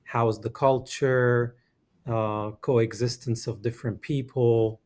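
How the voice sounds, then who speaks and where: A man's voice: medium pitch, steady tone, slow, a little mellow. A man hosting an interview podcast, greeting and questioning his guest in a friendly, welcoming way. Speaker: podcast host. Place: Georgia, US.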